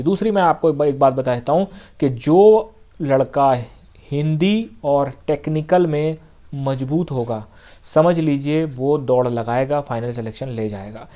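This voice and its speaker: A young man with a fairly high voice coaches exam candidates in a relaxed, talky way. He repeats his main points and keeps checking in with his listeners. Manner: direct, repetitive coaching, frequent "theek hai" check-ins. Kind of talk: casual exam-prep advice talk.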